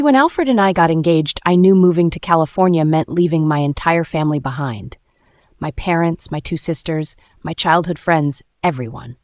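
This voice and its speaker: A woman's voice: low-pitched, no echo. A woman reading a scripted first-person story as its dramatic narrator.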